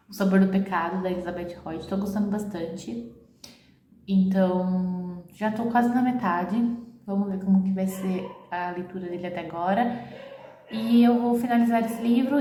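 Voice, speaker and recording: A Brazilian woman chatting casually at home for a reading vlog, often ending sentences with a quick "right?". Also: medium pitch, dark tone. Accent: Brazilian Portuguese. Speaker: book vlogger. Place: at home, echoey room. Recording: very echoey room, faint background hiss.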